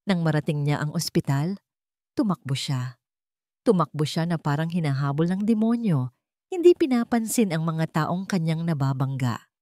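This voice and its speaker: A woman's voice: low-pitched, darkish tone, dry recording, clean studio sound. A woman reading a dramatic scripted story as its narrator.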